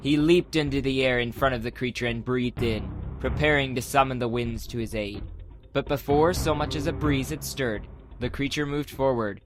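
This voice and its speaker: A man's voice: medium pitch, moderate pace, background noise. A man narrating a fantasy adventure story as a scripted, dramatic audiobook. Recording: music behind the voice.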